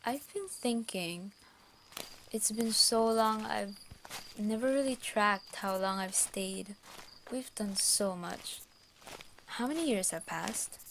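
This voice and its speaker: A woman playing an earnest, anguished young girl in an amateur audio drama, with a halting, pleading way of talking. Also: mid-range voice, a little hiss or hum. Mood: thoughtful. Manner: pleading, halting, repeats words. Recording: music loud behind voice.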